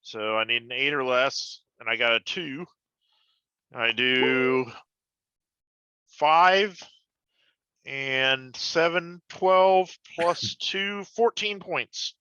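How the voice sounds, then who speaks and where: A man with a sharp, forceful, very clear voice talks through his tabletop game turns. He takes his time in casual chat. The recording is dry and a little band-limited. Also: mid-range voice, extremely slow, long pauses, dry recording, highs cut off.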